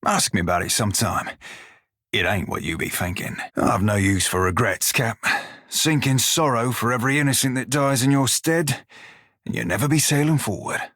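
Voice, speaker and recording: An actor playing a cocky, bawdy furry in a video game, with a sly, wry, drawling manner. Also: male voice, mid-range voice, normal speed, a bit bright, no room sound, clean studio sound, lightly acted. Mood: wry, plainspoken. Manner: salty, drawling pirate dialect, sly and wry. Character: bawdy, roguish furry orlan pirate shiphunter, loyal and cocky. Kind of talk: video game character dialogue.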